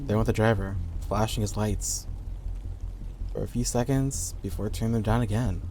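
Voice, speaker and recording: A man's voice: fairly low pitch, normal speed, warm tone. A man reading a scripted horror story as the narrator of an audio play. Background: background music.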